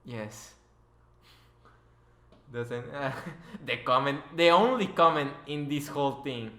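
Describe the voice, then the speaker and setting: Bright-voiced joking man, echoey room. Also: mid-range voice, takes it slowly. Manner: teasing, sarcastic. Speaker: podcast interviewer. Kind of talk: casual joking chat. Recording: roomy, echoey voice recording.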